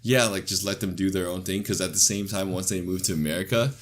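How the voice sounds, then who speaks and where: Low-pitched male, young adult, informal, slangy, conversational, unscripted friend-to-friend chat. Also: fast talker, a little hiss or hum. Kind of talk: casual chat between friends.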